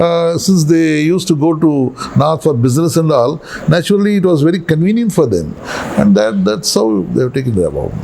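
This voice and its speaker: A man, an Urdu speaker, answering interview questions in a measured, explanatory way and often repeating key phrases. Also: a little high, a little mellow, slight background noise. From Tamil Nadu, India. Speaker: interview guest, local historian.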